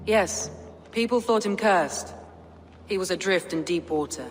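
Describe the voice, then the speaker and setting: An actor playing a calm Viking clan leader who speaks in a measured, thoughtful way, in game audio. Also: male voice, high voice, big-room sound, slight background noise. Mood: composed.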